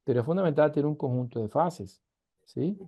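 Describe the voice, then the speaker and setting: A man's voice: fairly high pitch, expressive, brisk, warm tone. A man from Colombia's Caribbean coast, with its accent, teaching an online university class in an emphatic way full of rhetorical questions, on a video call. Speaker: university lecturer.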